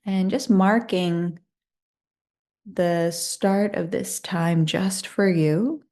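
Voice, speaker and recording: A woman leading a guided meditation in a soothing, unhurried and gently inviting manner. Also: on the low side.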